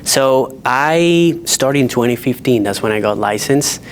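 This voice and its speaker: A man from the Dominican Republic, a real estate agent speaking on a panel and telling his career story in an earnest, measured way. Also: medium pitch, lively intonation, slight background noise. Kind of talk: panel discussion.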